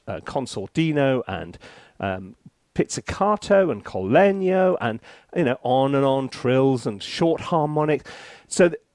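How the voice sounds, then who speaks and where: A British man chats through a tutorial with a very lively, swooping delivery. He is jokey and theatrical, breaking off into asides and cutting across himself. His voice sits at a middling pitch in a dry, close recording. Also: dry recording. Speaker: composer reviewing music software, presenter. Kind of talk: casual, chatty tutorial to camera.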